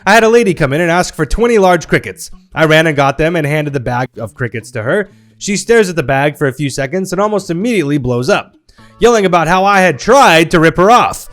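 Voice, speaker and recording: A man reading online stories aloud in a playful, wry storytelling manner. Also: fairly high pitch. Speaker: narrator reading Reddit stories.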